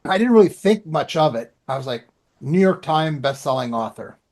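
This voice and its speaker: A man with a slightly high voice chats as a podcast co-host. His talk is loose and colloquial, built on anecdotes and little acted-out exchanges. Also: lively intonation, moderate pace, a little mellow. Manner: colloquial, acts out remembered exchanges. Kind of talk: casual anecdotal podcast chat.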